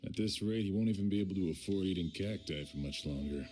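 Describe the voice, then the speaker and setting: A man's voice: deep voice, fast talker, darkish tone, a little hiss or hum, natural voice. An actor playing a weary, dry male colleague in a video game, sounding resigned.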